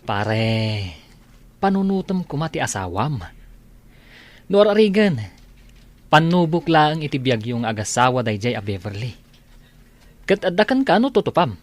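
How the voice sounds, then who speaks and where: A man's voice: medium pitch, sing-song, measured pace, long pauses, no echo. A man playing a concerned friend who earnestly warns his buddy in an audio drama. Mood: urgent warning. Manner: earnest, admonishing.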